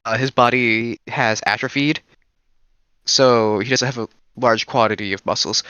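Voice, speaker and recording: Bright-voiced man in casual debate. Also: mid-range voice, fairly even intonation, normal speed, smooth, dry recording, highs cut off. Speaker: panelist in a group discussion.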